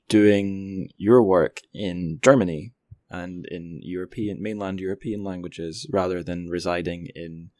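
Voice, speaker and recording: A man's voice: low voice, a little mellow, no echo. A young man hosting a podcast interview in an informal, self-deprecating way. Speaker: podcast host. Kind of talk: casual interview.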